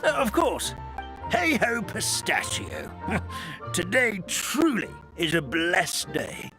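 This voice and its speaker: A man's voice: high voice, huge pitch swings, takes it slowly, exaggerated voice, low-quality recording. A man voicing a kindly, theatrical elderly storybook creature in a video game, with a jolly grandfatherly manner. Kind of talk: animated video game dialogue. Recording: music behind the voice.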